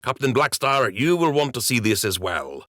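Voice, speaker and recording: A man's voice: mid-range voice, big pitch swings, normal speed, sharp tone, no echo, clean studio sound, pitched above the performer's own voice. A man voicing a dutiful, slightly anxious rabbit lieutenant who speaks deferentially in an audiobook.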